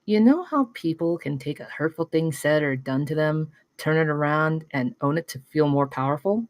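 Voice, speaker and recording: A woman's voice: low voice, animated, normal speed, a little mellow, dry recording, clean studio sound. A woman reading the part of a guarded, unsentimental young tinkerer in a screenplay table read, terse and matter-of-fact. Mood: guarded, reflective.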